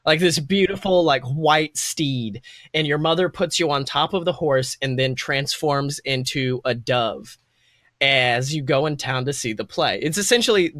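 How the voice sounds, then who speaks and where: A man's voice: medium pitch, measured pace, a bit bright, no room sound, clean studio sound. A man improvising narration as game master in a tabletop roleplaying game, in an informal, meandering, slangy storytelling way.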